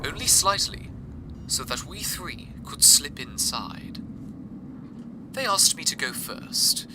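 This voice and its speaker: A man playing an earnest, awed broadcaster from a fantasy city, narrating a scripted radio drama in a measured, wistful way. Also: on the high side, big pitch swings, noisy background. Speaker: radio host.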